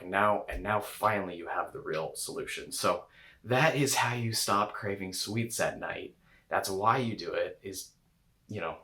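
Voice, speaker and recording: Man, very low voice, rambling coaching talk, host. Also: a bit bright. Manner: loose, rambling, with filler words and restarts. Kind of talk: casual coaching talk to camera.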